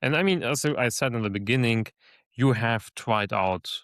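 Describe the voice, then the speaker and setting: A man hosting a podcast interview, measured and listening, with frequent fillers. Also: medium pitch, fairly bright tone, no echo, clean studio sound. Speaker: podcast host.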